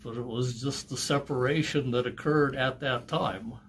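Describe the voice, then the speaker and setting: A man's voice: mid-range voice, fairly bright tone, slight background noise. Older male pastor, slightly distant camera sound. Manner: brief, unhurried replies. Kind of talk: casual interview answers.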